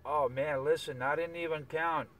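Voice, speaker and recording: A man's voice: a little high, fairly even intonation, moderate pace, a bit bright. A man chatting casually on CB radio, giving signal reports and often repeating himself. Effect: CB radio transmission. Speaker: CB radio operator. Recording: distant, very noisy CB radio chat.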